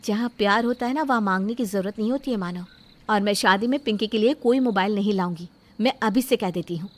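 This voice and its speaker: A woman's voice: medium pitch, fast, close to an everyday voice. A woman playing an outspoken, self-respecting young bride-to-be in a story drama, speaking firmly and indignantly. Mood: firm, displeased. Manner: firm, indignant, emphatic. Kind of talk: dramatised Hindi moral story acting.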